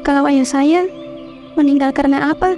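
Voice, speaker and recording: A woman's voice: high voice, steady tone, normal speed, darkish tone, highs cut off, faint music behind. A woman voicing an anxious young village wife in a horror cartoon, calling out and pleading. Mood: shocked. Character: young village woman searching for her husband.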